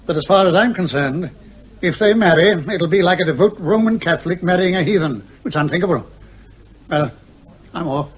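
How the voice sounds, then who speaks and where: An actor plays an older English major, a proper country father from radio comedy. His voice sits high for a man. He talks in clipped, upper-class phrases with gruff courtesy. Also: fairly quick, natural voice, poor audio. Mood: genial, resigned. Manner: clipped, old-fashioned upper-class politeness. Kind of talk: radio comedy drama acting.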